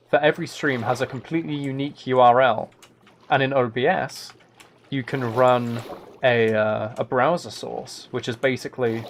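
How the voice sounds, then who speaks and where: A man chatting casually to viewers as a live game streamer, with a dry, joking, mock-serious manner. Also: mid-range voice. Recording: clear noise, keyboard and mouse clicks.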